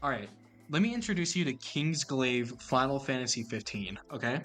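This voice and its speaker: Joking, animated podcast co-host man. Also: medium pitch, normal speed, faint music behind. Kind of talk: casual podcast chat.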